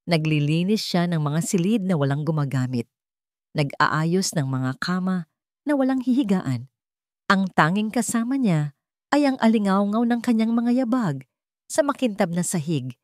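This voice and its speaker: A woman narrates a scripted drama in a measured, dramatic way. Her voice sits a little low, and it is recorded dry and close with no room sound. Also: darkish tone, no echo. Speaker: storyteller.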